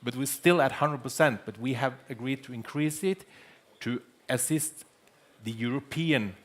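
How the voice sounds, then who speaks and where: A man from Norway with a Norwegian accent, explaining haltingly on a conference panel, stammering at the starts of words. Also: medium pitch, a bit bright. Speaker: panelist, data center chief executive. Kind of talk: panel discussion, unscripted explanation.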